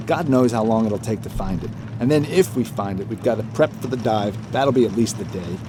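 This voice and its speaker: An actor playing a gruff, suspicious boat captain down on his luck in an audio drama. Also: fairly low pitch, background noise, natural voice. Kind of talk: full-cast audio drama acting. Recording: music behind the voice.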